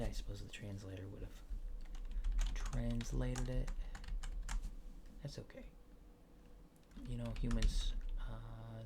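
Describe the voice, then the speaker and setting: Male ASMR performer as a laid-back, reassuring alien examiner: hushed, gravelly, very unhurried and hesitant delivery, sometimes whispered. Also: medium pitch, background noise. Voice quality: soft, partly whispered. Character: calm, casual male alien examiner reassuring an abducted human. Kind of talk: soft-spoken ASMR roleplay.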